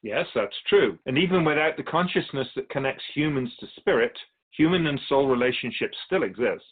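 A man playing a calm otherworldly being in a radio drama interview, explaining humanity to humans in a measured, knowing, didactic way. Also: mid-range voice, phone-quality sound, natural voice. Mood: assured, teaching.

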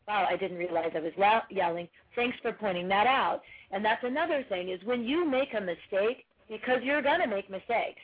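A woman with a husky, loud voice gives steady parenting advice, restarting her sentences as she goes. The sound is narrow and tinny, like a phone call. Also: mid-range voice. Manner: earnest, advising, many restarts. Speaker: parenting coach guest. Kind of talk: casual podcast conversation.

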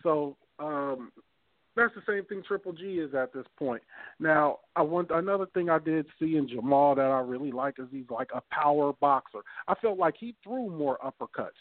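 A man's voice: fairly high pitch, animated, moderate pace, dry recording. A man in his thirties co-hosting a podcast in loose, rambling casual talk, swearing freely and restarting his sentences. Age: 30s. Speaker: podcast co-host. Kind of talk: casual boxing chat. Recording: tinny phone-line sound.

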